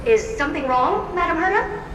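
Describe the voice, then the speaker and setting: A woman's voice: extremely high pitch, animated, brisk, background noise. A woman voicing an eerie, girlish magic mirror servant in a video game, theatrical, servile and mischievous. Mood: timid, concerned. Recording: echoey and distant, loud music behind.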